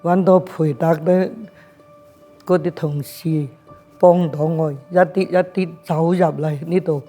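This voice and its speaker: A man giving a personal testimonial as a client, speaking haltingly and repeating phrases. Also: fairly high pitch, fairly even intonation, mellow, soft background music. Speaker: legal aid client.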